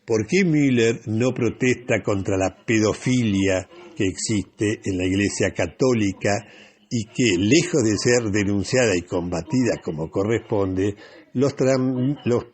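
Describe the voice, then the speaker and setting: A man with a Rioplatense Argentine accent hosting a radio talk, delivering opinionated editorial commentary in a deliberate, emphatic manner. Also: on the low side, slightly rough. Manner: opinionated, deliberate, with emphatic repetition and rhetorical questions.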